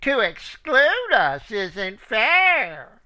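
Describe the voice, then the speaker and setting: A man voicing a flock of demanding, indignant hens in a rhyming story, shrill and insistent. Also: wildly swooping pitch, very slow, pushed and bright, exaggerated voice.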